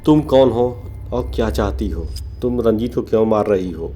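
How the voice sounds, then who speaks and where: A man's voice: lowish voice, moderate pace, mellow, slight background noise, lightly acted. A man voicing a practical, insistent husband in a cartoon horror story. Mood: demanding.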